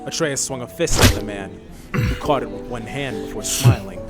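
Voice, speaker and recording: A man's voice: mid-range voice, normal speed, loud music behind the voice. A man reading scripted story narration as the narrator of a fantasy audiobook.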